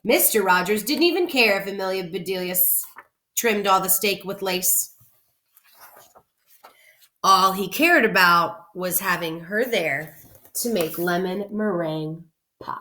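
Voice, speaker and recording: A woman reading a children's story aloud like a teacher, animated and clearly phrased for young listeners. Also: medium pitch, a little slow, long pauses. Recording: roomy indoor echo.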